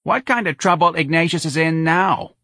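Man voicing a plaintive, high-pitched New Orleans mother. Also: normal speed, bright, forceful tone, no echo, clean studio sound. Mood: worried. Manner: plaintive, hesitant, nervous. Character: timid, fretful older mother.

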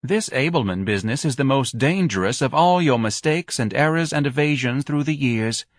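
A man's voice: mid-range voice, lively intonation, moderate pace, dry recording, clean studio sound, voice pushed up, natural voice. A man voicing a melodramatic, self-righteous middle-aged wife in an audiobook, grandly reproachful and scornful. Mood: martyred, reproachful. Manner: overwrought, martyred, grandly reproachful.

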